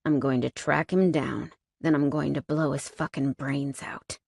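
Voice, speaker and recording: Stern, controlled biker man, low and threatening, as a woman reads him. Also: steady tone, a little slow, vocal fry, a little mellow, husky, heavily acted. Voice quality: low, hissing.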